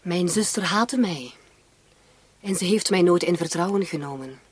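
An actress playing a bitter, defiant young woman in a radio play, speaking curtly and sarcastically with a husky voice. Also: on the low side, expressive, normal speed, close to an everyday voice. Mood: defensive. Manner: curt, sarcastic.

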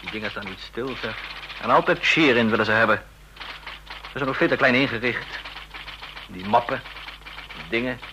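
An actor playing an ambitious, scheming deputy intelligence officer in a radio play, talking in brisk, fragmented phrases. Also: male voice, medium pitch, noisy background, close to an everyday voice. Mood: brisk, self-satisfied. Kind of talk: radio drama dialogue.

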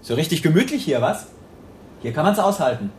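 A man's voice: on the high side, very animated, brisk, a little mellow, slight background noise. An actor playing a pushy, falsely friendly young man in a naturalistic radio drama, cheeky and provoking. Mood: nosy, needling.

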